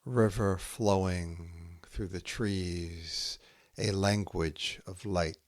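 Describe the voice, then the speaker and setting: A man with a low voice reads poetry aloud at a very unhurried pace. He delivers it in short, measured phrases with space between them. The sound is dry, with very little room in it. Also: steady tone, no echo. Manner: measured, with short phrases set apart by pauses. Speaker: podcast host reading poetry aloud. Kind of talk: poetry reading, haiku recited line by line.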